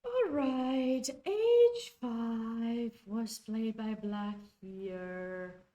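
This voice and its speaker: A woman's voice: a little high, expressive, drawn-out words, mellow, highs cut off. A woman, commentating a chess game live and chatting with viewers, often restarting her sentences. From Romania. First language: Romanian. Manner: chatty, self-correcting, talks to chat.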